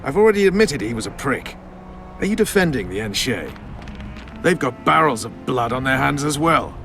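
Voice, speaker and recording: A blunt soldier with lively pitch. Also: male voice, moderate pace, vocal fry. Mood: argumentative. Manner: blunt, argumentative, scornful. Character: gruff, foul-mouthed male soldier, stubborn and cynical. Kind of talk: video game voice acting. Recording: music behind the voice.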